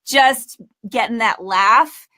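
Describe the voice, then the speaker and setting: Woman host, bright voice, higher pitch, chatty and lively, on a video call. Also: slow. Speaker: voice acting coach and host. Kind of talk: casual webinar chat.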